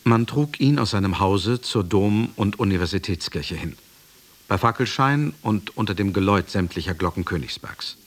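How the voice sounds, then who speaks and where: An actor playing a sober companion who narrates a literary radio play in a grave, measured way. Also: male voice, fairly low pitch, expressive. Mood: solemn. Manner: measured, grave, hushed at phrase ends. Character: sober male companion recounting a master's death.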